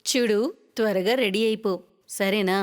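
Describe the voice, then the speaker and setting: A woman's voice: medium pitch, fairly even intonation, unhurried, smooth, long reverb tail, lightly acted. A woman voicing a bossy middle-aged mother in a story, scolding and urging her daughter. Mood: impatient.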